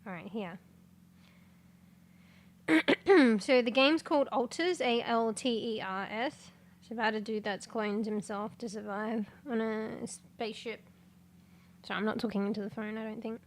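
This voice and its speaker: A congested woman chatting casually on a livestream, rambling, swearing and breaking into sudden loud exclamations as she reads things aloud. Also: fairly high pitch. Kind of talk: casual stream chat, reading aloud.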